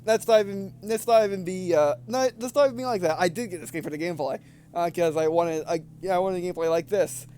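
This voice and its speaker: A young man with a distinctly high voice comes through over clear noise and hum. He talks like a casual game streamer, halting and doubling back to repeat his phrases. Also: dark tone. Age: 20s. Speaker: gaming video host. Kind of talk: casual let's play commentary, reading game text aloud.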